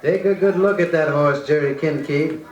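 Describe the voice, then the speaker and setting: A man's voice: on the high side, normal speed, heavy background noise, natural voice. An actor playing a folksy, admiring small-town man with an American accent in an old radio drama. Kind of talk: folksy 1940s radio acting. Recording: dull echoey old record, loud music.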